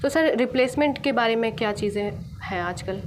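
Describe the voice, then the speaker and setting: A young woman speaks as a radio interviewer in a fairly high voice. She is conversational and wordy, wandering through her questions. A little noise sits behind her. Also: fairly quick.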